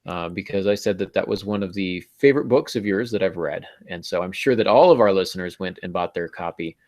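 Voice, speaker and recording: A man's voice: deep voice, a little fast, a little mellow. An American man in his thirties chatting casually as a podcast host, with a jokey, self-deprecating manner. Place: small home room on a video call. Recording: dull, compressed video call audio.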